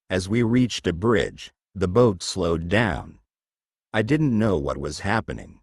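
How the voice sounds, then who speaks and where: Male storyteller, low voice, unhurried and measured, animated intonation for a children's learning text. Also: gravelly, breathy edge, dry recording, studio quality. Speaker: audiobook narrator of a children's textbook story. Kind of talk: scripted story narration.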